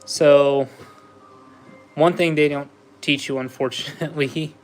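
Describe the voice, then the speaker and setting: A man's voice: a little high, steady tone, measured pace. A man chatting casually with viewers while building a Lego set on a livestream, easygoing and laughing often. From USA. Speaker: livestream host.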